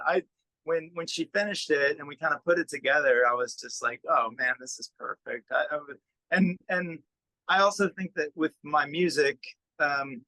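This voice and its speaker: A man with a bright, fairly high voice chats informally as a musician being interviewed. He is self-effacing and easygoing in his wording, and the sound is close and dry. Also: dry recording, low-quality recording. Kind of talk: casual interview chat.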